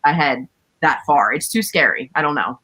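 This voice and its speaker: Guest DJ, woman, bright and forceful tone, relaxed chatty delivery over a video call. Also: low-pitched, moderate pace, slightly rough. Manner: laughs often, loose and chatty. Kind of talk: casual podcast chat.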